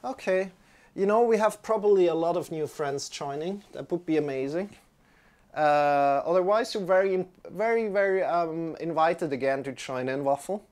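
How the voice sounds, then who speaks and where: A man's voice: fairly high pitch, normal speed, darkish tone. A young German man, a yoga teacher, sitting on a mat indoors and chatting casually with livestream viewers, friendly and rambling, restarting his sentences often. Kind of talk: casual chat with live viewers.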